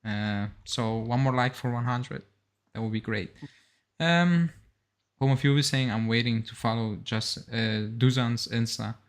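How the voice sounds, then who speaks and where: Young male co-host, mid-pitched everyday voice, informal sports livestream chat, reads out comments and reacts. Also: unhurried. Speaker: co-host of a football fan live show. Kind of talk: casual live chat, reading out and reacting to viewer comments.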